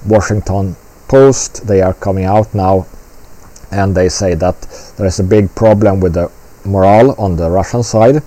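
A man's voice: low-pitched, fairly even intonation, moderate pace, dark tone, rough recording. A man giving casual political commentary in a blunt, rambling way, swearing freely.

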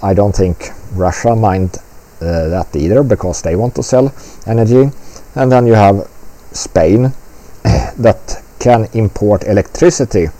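Slow, low, mellow-voiced man. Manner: blunt, rambling, swears freely. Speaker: commentator. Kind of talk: casual political commentary.

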